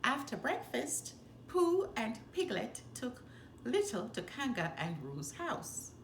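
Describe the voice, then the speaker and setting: A woman reading a children's story aloud with expressive, animated, deliberate phrasing. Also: on the high side. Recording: roomy echo, faint background noise.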